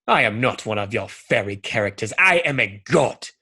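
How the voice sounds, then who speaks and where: An actor playing a big, scary, proud spider god in a comic radio play, husky and formal in manner. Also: medium pitch, animated, moderate pace, sharp tone, exaggerated voice. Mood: indignant. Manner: formal, haughty.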